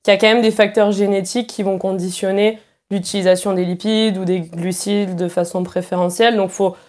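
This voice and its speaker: A woman speaks at a middle pitch, calm and measured. She explains her points step by step as an expert guest. It is a studio discussion with no rush. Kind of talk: radio discussion.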